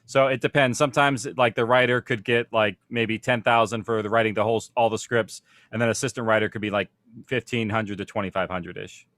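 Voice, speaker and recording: A man's voice: fairly low pitch, steady tone, brisk, a bit bright, dry recording, studio quality. A man working in audio production, explaining things casually in an informal panel discussion.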